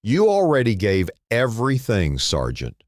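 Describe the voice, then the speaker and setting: A man voicing a calm, kind, mysterious stranger in a dramatic story, steady and quietly certain. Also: lowish voice, a little slow, no room sound, studio quality, pitched above the performer's own voice. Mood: calm, knowing.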